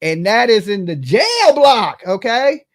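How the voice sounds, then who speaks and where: A livestream host with a medium-pitched voice delivers casual, gossipy commentary. The talk is brash and salted with profanity, and points are often capped with a checking "okay?" or "right?". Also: big pitch swings, takes it slowly.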